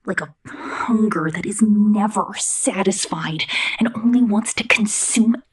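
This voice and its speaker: Woman whispering as a menacing, breathy robot girl. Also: medium pitch. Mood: brooding, possessive. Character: menacing, possessive young female killer robot drone, megalomaniac yet lonely. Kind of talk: ASMR roleplay, intimate whispered monologue.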